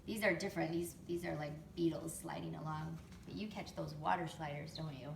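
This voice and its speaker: A woman reading a picture book to young children expressively, pausing to explain things and ask them questions. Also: low-pitched, steady tone, gravelly. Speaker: storytime reader, teacher to young children. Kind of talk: picture book read-aloud with chatty asides. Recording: roomy, distant mic, faint background noise.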